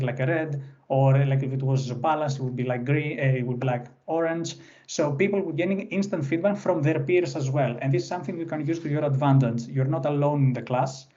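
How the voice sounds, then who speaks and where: A man's voice: on the high side, dark tone, muffled recording. A young man whose first language is Greek, speaking English with a Greek accent, presenting an online workshop in an unscripted way with many "uh" fillers and restarts. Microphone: computer mic on a video call.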